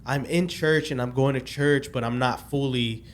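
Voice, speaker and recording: A man in his late twenties tells a long personal story in a loose, rambling way, stopping and restarting as he tries to get his dates straight. His voice sits at an ordinary middle pitch, and a faint noise hangs in the background of the recording. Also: steady tone, moderate pace. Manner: rambling, loses track of dates, many restarts and fillers. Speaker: podcast guest telling his testimony. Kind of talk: casual podcast storytelling.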